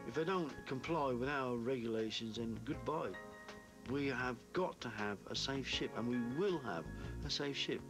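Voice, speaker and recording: Brief: firm older man, mid pitch, laying down the law. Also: normal speed. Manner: stern, firm, emphatic. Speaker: safety officer. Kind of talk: documentary interview. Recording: music bed underneath.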